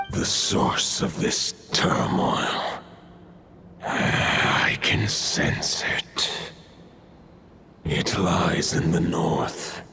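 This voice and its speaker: An actor playing an ancient, solemn male wolf spirit who speaks slowly in a grave, archaic way. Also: a bass voice, gravelly, background noise, exaggerated voice. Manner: slow, archaic, grave speech. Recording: game audio with loud music behind.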